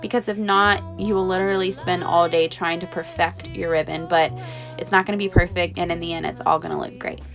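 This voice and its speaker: A woman's voice: lowish voice, steady tone, brisk, creaky. Woman with a lingering cold, reassuring voiceover. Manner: friendly, reassuring, conversational. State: getting over a cold, voice a bit off. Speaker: home decor vlogger. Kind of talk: voiceover tips. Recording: voiceover with faint music.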